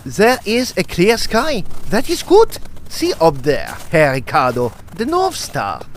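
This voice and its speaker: A steady, courteous traveller played by a man in a period audio play. He speaks formally and keenly, in a high, creaky voice. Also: takes it slowly, a little hiss or hum, full character voice. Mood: hearty, intent. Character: steady, formal Germanic traveller, polite and keen. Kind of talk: period audio drama acting.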